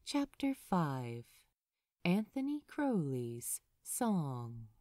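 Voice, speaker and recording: Slow, expressive woman reading a story aloud. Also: deep voice, dry recording, studio quality. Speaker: audiobook narrator. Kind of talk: scripted fan fiction narration, storytelling.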